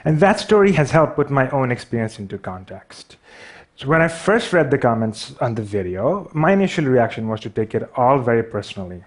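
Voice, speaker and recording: A man's voice: medium pitch, sing-song, brisk. A young man with a Pakistani accent giving a prepared talk, wry and self-deprecating, with a light stutter.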